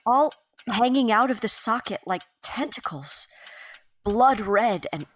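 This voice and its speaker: A woman's voice: on the high side, animated, slow. Woman playing a dry, proper Victorian mother. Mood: grave, hushed. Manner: formal, dry, composed. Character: wry, proper middle-class Victorian mother. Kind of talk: audio drama acting.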